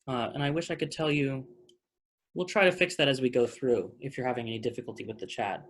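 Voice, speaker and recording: A man's voice: medium pitch, fast, a bit bright. Man casually hosting an online talkback. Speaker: theater director hosting a post-show talkback. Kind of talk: casual question-and-answer hosting. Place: online video call.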